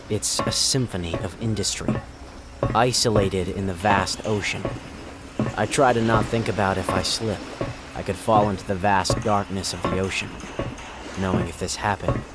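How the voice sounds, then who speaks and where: An actor playing a wistful rig maintenance worker in an audio drama, speaking in a reflective, subdued way. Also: male voice, low-pitched, heavy background noise, close to an everyday voice. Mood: brooding. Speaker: narrator and lead character. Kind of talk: audio drama narration and dialogue. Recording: music under the voice.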